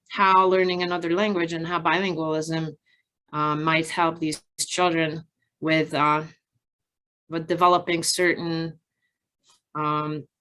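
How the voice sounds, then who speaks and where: A woman researcher giving an academic presentation from slides in a measured, halting, explanatory way with frequent pauses. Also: low voice, fairly bright tone. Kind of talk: conference research presentation, partly improvised from slides.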